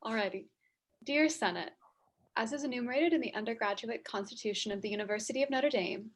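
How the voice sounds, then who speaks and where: Soft-voiced young woman reading briskly. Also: a little high. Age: young adult. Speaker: student union treasurer. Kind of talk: reading a formal letter aloud.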